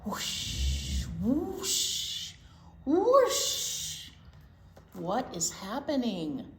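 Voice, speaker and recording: An American woman reading a picture book aloud to young children, drawing out words expressively and adding chatty asides. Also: high-pitched. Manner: expressive, drawn-out storytelling. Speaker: children's storytime teacher. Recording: echoey room with clear background noise.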